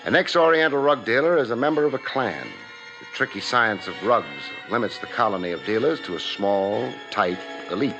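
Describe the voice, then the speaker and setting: An actor playing a wry, hard-boiled private detective with dry, punchy delivery in 1950s radio noir style. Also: male voice, mid-range voice, animated, normal speed, close to an everyday voice. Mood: reflective narration. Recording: muffled old radio recording, faint music.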